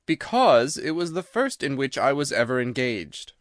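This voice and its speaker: A man's voice: medium pitch, sing-song, moderate pace, dry recording, clean studio sound, lightly acted. A man voicing a cerebral, self-possessed detective who recounts his past in articulate, precise detail for an audiobook. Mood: assured, intrigued. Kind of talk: dramatised audiobook reading.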